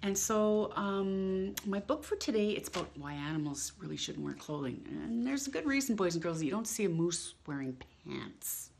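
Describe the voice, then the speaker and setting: A middle-aged woman hosting storytime, reading to children in an expressive, drawn-out way with playful emphasis. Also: medium pitch, creaky, slight background noise. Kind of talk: reading a picture book aloud to children. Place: indoors in a home room.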